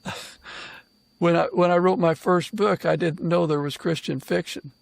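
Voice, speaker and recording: A man hosting a casual interview podcast, speaking in an informal, halting way. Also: fairly high pitch, steady tone, vocal fry, no echo. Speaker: podcast host and author.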